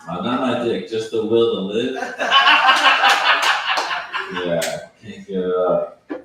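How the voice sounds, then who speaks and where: A man doing a stand-up set at an open mic night with a calm, laid-back, deadpan delivery. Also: lowish voice, slow pace. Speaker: stand-up comedian. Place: open mic at a bar venue. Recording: echoey room from far off, loud noise.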